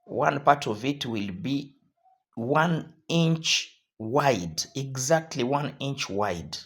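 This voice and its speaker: Hoarse male tutorial host, slow and repetitive instructional delivery. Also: mid-range voice, expressive.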